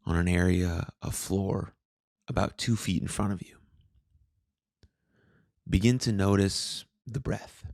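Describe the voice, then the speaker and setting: A man with a low-pitched voice narrates a guided meditation in a soothing manner. His delivery is very slow, with long pauses between phrases. The sound is close and dry, keeping the calm instruction intimate and unhurried. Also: vocal fry, dry recording. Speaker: meditation guide, podcast host.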